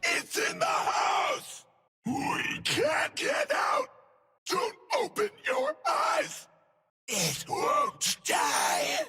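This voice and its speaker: Scarecrow demon, game villain, very high shrieking mimicry of victims, harsh, drawn-out, music behind. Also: huge pitch swings, gravelly, sharp tone, shouting, full character voice. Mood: frantic. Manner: drawn-out, eerie, uncanny mimicry.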